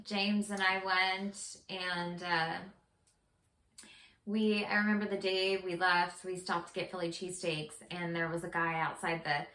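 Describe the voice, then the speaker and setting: A woman's voice: lowish voice, little pitch movement, a little slow, bright, forceful tone. A woman co-hosting a podcast in casual conversation, adding wry asides. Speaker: podcast co-host. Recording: roomy echo with clear background noise.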